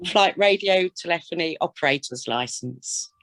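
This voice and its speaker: A woman's voice: on the low side, expressive, moderate pace, a bit bright, no echo, poor audio. British woman, dry sound, slight cold. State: getting over a cold. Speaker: air traffic controller, flying instructor and radio examiner. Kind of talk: casual self-introduction and chat.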